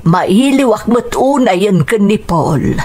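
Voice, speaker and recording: A woman's voice: mid-range voice, expressive, slow pace, mellow, husky. A woman playing a caring, anxious mother in a radio drama, tender and earnest, with an Ilocano accent. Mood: tender, longing.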